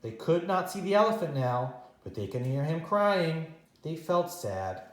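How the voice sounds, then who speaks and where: Animated man reading a storybook, roomy sound. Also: on the high side. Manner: expressive, dramatic pauses and repetition for young children. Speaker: teacher reading a children's story. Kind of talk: animated read-aloud storytime narration.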